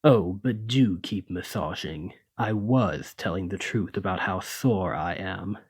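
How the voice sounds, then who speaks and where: A man's voice: low voice, unhurried, breathy edge, no room sound, clean studio sound, heavily acted. A man playing a haughty, teasing male lamia noble in an ASMR roleplay, with a pompous, refined, drawling and condescending manner. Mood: softened, pleased. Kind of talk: ASMR roleplay, scripted monologue to listener.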